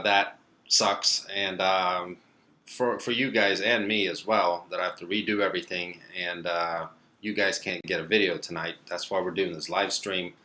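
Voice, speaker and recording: A young man from Sweden with a lowish voice, chatting on a live stream. He reads out viewer comments and answers them in an easygoing, meandering way, stopping for plenty of ums and uhs. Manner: relaxed and rambling, many fillers. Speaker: car vlogger hosting a live stream.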